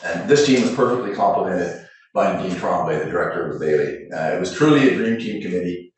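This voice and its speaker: A city councillor with a loud voice, giving a formal report with many hesitations. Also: male voice, deep voice, lively intonation, quick pace. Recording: echoey room, far from the mic.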